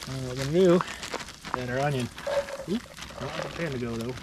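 A man's voice: mid-range voice, a little fast, very noisy. A man in his thirties casually vlogging outdoors at a snowy campsite, chuckling and talking as he works over a fire pit, recorded by a camera a few feet away. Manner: laid-back, chuckling, talks while working. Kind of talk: casual camp cooking vlog. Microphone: camera on a tripod a few feet away. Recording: outdoor ambience and sizzling fire.

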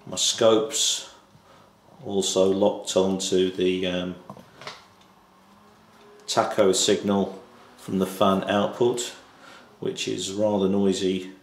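A man's voice: sits low, creaky. A man giving an unscripted technical demonstration in a hesitant, matter-of-fact way, recorded indoors on a camcorder microphone. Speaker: electronics hobbyist presenting a project. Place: indoors in a small tiled kitchen-like room. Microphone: camcorder microphone behind the camera. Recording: echoey small room.

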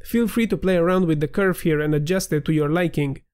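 Man narrating a software tutorial. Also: on the high side, brisk. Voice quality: slightly nasal. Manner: clear, steady instructional delivery. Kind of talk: scripted screen-recording tutorial voiceover.

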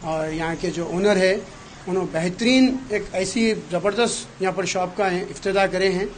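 A middle-aged man, a local party vice president, gives a formal statement into a handheld mic in a small crowded salon. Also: high-pitched. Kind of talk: on-camera statement at a shop opening. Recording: light background noise.

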